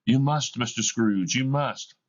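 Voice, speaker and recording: A man reading aloud as a gentle but insistent male spirit of the past, asking pointed questions. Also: mid-range voice, unhurried, highs cut off, close to an everyday voice.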